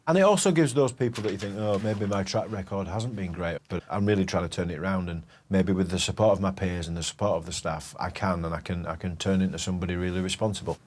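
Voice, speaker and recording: A head teacher talking in a documentary interview, measured and reflective, with some hesitations. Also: male voice, low voice, a little mellow, a little hiss or hum.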